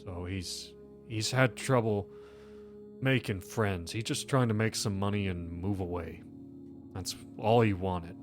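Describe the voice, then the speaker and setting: A man playing a distressed, defensive gas station manager in a tabletop game, halting and repeating himself. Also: on the low side, music in the background, natural voice. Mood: defensive, worried.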